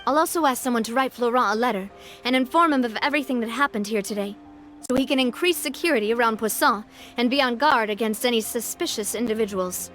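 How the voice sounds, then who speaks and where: A woman plays a young female leader in a video game. Her voice is high, with a cheerful, kindly and teasing manner. Soft music sits faintly behind her. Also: fast talker, natural voice. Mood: businesslike. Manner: friendly, teasing, upbeat.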